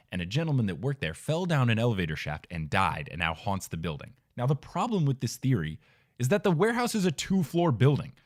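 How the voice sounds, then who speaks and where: An American man delivers wry comic anecdotes with emphatic, mock-indignant flair. His medium-pitched voice swings up and down very expressively. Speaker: guest contributor.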